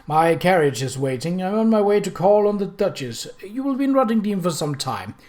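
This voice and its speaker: A man voicing a suave, wealthy older gentleman who is courtly, effusive and wryly amused in an audiobook. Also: high-pitched, sing-song, brisk, pitched above the performer's own voice.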